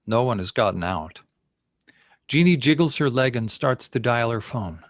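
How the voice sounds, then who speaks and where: A man's voice: mid-range voice, animated, normal speed, no echo, studio quality. A man reading scene headings and stage directions as narrator at a screenplay table read.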